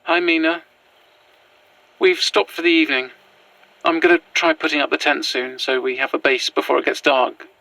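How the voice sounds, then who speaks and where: A woman's voice: deep voice, steady tone, moderate pace, creaky, long pauses, a bit bright, recorded from a distance, low-quality recording. An actor, a woman, playing an anxious, earnest young fugitive in an audio drama, hesitant and stammering. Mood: tentative, grateful. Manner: hesitant, stammering, breathless phrasing. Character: anxious, earnest young adult on the run, haunted. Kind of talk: naturalistic audio drama acting.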